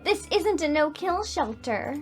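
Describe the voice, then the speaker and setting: A woman's voice: sits high, animated, a little slow, soft background music. A woman hosting a gaming video, reading story narration expressively and adding emotive, reactive commentary. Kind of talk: expressive game read-aloud with commentary. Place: voice-over on gameplay with game music behind.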